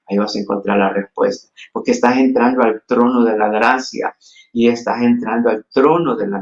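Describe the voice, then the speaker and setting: A man's voice: mid-range voice, highs cut off. A man from Honduras with a Honduran Spanish accent, preaching a sermon earnestly with frequent restarts and fillers. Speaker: pastor.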